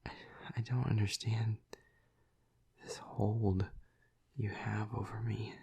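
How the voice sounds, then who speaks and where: A man playing a tormented, tender vampire lover in an intimate audio roleplay, halting and stammering in a breathy, husky half-whisper. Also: lowish voice, little pitch movement, mellow, full character voice. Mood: anguished, smitten.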